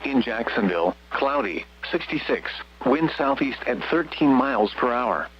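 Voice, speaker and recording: An American man's synthetic voice reading a scripted weather radio bulletin in a flat, measured way. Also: medium pitch. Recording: muffled, noisy weather radio broadcast with hum.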